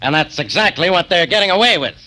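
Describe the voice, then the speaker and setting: A bossy, exasperated police inspector with an American accent. The delivery is blunt and fast, in a sharp, forceful voice. It has the punch of 1940s radio crime drama. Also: male voice, sits high, expressive, full character voice, poor audio.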